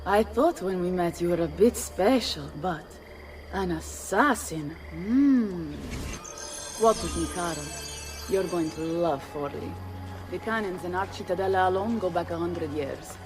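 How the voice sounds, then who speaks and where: A woman's voice: fairly low pitch, lively intonation, unhurried. An actress playing a proud, foul-mouthed Renaissance noblewoman with an Italian accent, bold and theatrical in a game cutscene. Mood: sly, haughty. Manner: bold, brash, theatrical, peppers speech with Italian. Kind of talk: dramatic game cutscene acting. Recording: loud music behind voice. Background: game soundtrack music.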